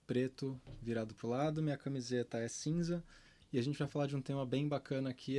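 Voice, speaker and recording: Easygoing guy co-hosting, mellow voice. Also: medium pitch. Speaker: podcast co-host. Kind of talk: hosting a tech podcast.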